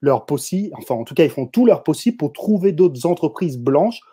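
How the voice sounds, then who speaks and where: A man's voice: fairly high pitch, animated, a little fast, dark tone, breathy edge. A man from France with a Parisian accent, a guest in an opinionated discussion, lecturing his listeners emphatically and addressing them directly. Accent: Parisian French.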